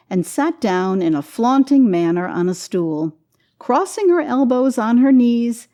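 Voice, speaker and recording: A woman reading a classic story as a dramatic narrator, deliberate and expressive, with weighty pauses. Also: medium pitch. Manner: deliberate, expressive, pausing on each item. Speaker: storyteller, reader.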